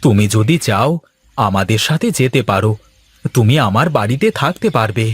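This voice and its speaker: A man's voice: lowish voice, expressive, moderate pace, slightly rough. Man playing an anxious, earnest cartoon husband. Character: wealthy childless husband, anxious and pleading. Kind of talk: Bengali fairy-tale cartoon voice acting.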